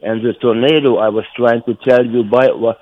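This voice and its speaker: An elderly man from Germany with a German accent, reminiscing casually in a halting, rambling way and repeating himself. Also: medium pitch, steady tone. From North Rhine-Westphalia, Germany. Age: 70s or older. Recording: tinny phone line.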